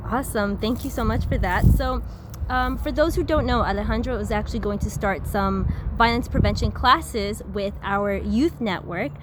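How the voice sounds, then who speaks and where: Higher-voiced woman hosting a podcast. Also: moderate pace, noisy background. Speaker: podcast host, interviewer. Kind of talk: scripted-sounding friendly interview questions.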